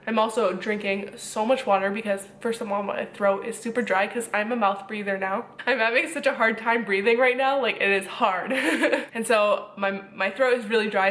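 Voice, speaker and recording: A woman's voice: mid-range voice, steady tone, a little fast, a bit bright. Congested young woman vlogging with a cold. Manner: chatty and rambling. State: stuffy, congested nose from a cold; short of breath. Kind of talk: casual vlog talk to camera. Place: home kitchen.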